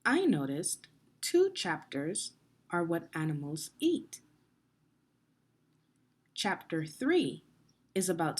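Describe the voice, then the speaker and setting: A woman with a slightly low, husky voice reads a nonfiction book aloud for children. She speaks slowly and deliberately, like a teacher guiding young learners, with lively rises and falls in pitch. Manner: deliberate, clearly phrased, guiding young listeners with questions. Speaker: teacher narrating a children's read-along video.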